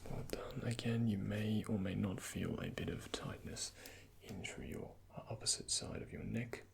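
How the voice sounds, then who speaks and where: A man speaks in a lowish, heavily creaky voice that often slips toward a whisper. He plays a doctor in an ASMR roleplay, gently guiding the patient with slow, courteous instructions, close on the mic. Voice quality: breathy, often near-whispered. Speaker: ASMR performer playing a doctor. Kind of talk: soft-spoken ASMR medical roleplay, addressing the listener as a patient. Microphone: close microphone.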